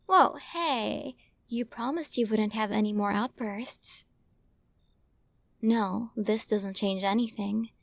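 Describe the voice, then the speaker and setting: A woman playing a possessive, sweetly menacing witch in an intimate ASMR roleplay, coaxing softly and often slipping into whispers. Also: medium pitch, slow pace, gravelly, no room sound. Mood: smug, commanding. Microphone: close microphone, dry recording.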